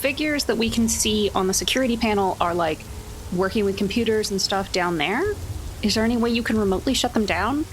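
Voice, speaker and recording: A woman's voice: mid-range voice, fairly quick, a little hiss or hum. A woman talking casually as a player in a tabletop roleplaying game.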